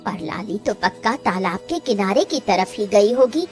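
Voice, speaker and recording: A woman playing an elderly village mother-in-law in a cartoon, motherly and gently scolding. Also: medium pitch, animated, measured pace, faint music behind, lightly acted. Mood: gently chiding. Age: older. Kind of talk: Hindi cartoon family story dialogue.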